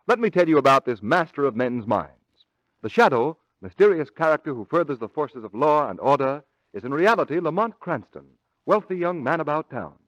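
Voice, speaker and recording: A man's voice: fairly high pitch, no room sound, poor audio. A man announcing a radio mystery in dramatic, emphatic 1940s scripted narration.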